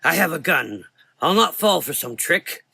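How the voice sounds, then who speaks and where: A man's voice: on the high side, very animated, a little slow, vocal fry, slightly rough, dry recording, pitched above the performer's own voice, full character voice. A man voicing a fanatical villain doctor in a gothic horror audio drama, sneering, taunting and volatile. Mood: agitated. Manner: taunting, volatile, shouting in anger.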